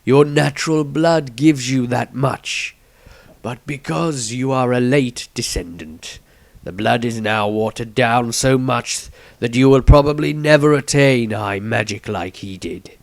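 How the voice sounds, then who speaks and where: A man voicing a grave old patriarch of a wizard order in a fantasy audiobook, solemn and deliberate, with dramatic pauses. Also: medium pitch, creaky, dry recording. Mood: explaining gravely. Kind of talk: fantasy audiobook character reading.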